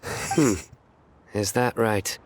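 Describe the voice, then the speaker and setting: A man playing a stern, earnest general in a video game who delivers bad jokes in a solemn, measured deadpan. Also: on the low side. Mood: deadpan joking. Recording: faint music behind.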